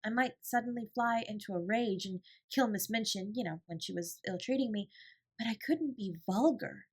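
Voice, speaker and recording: A woman voicing a bright, earnest, imaginative schoolgirl in a storybook, with emphatic, old-fashioned phrasing. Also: mid-range voice, moderate pace, breathy edge. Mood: reflective, earnest.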